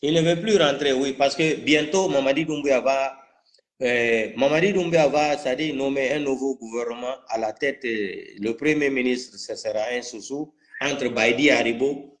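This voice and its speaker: A man's voice: medium pitch, moderate pace. Male political commentator, Guinean French accent, mid-range voice, combative and spontaneous, repeats himself for emphasis. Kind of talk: live political talk, spontaneous.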